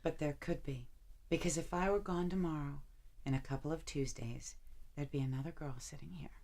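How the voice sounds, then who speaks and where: An actress playing a lovesick, anxious and reproachful lover in a naturalistic audio drama, halting and emotional. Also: female voice, low-pitched, steady tone, warm tone. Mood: wary, accusing.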